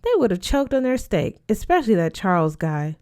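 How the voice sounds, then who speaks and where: A woman's voice: mid-range voice, very animated, fairly quick, darkish tone, clear, resonant tone, close to an everyday voice. A woman voicing a frank, wry teenage schoolgirl in casual dialogue.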